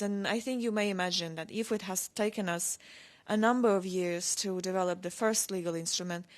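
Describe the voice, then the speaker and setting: A woman giving measured, halting remarks on a conference panel, with fillers and restarts. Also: lowish voice. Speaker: panel speaker for an institution.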